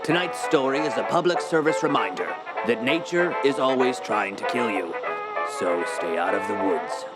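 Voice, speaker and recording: A man's voice: medium pitch, expressive, normal speed, loud music behind the voice, poor audio. A man delivering a scripted, tongue-in-cheek radio sign-off as host, wry and theatrical. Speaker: host, announcer.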